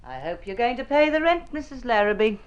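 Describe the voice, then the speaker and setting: A woman's voice: on the high side, big pitch swings, brisk, smooth, muffled recording. Practical, no-nonsense older landlady, Australian radio play; brusque lecturing, strongly inflected. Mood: dry, suspicious. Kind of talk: mid-century radio drama acting.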